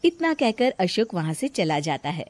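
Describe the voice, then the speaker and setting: A woman's voice: fairly low pitch, very animated, normal speed, a little mellow, no room sound. A woman narrating a scripted cartoon story in a plain storytelling manner.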